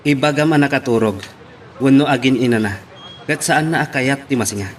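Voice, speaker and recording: A man's voice: mid-range voice, fairly quick, slight background noise, close to an everyday voice. A man playing a stern, bossy young man. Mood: instructing. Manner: commanding, strict. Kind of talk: Ilocano radio drama acting.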